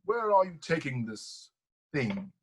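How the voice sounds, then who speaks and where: A man acting a grim, stiff security officer with a husky voice in a table read. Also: a little high, sing-song, slow, full character voice. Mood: suspicious.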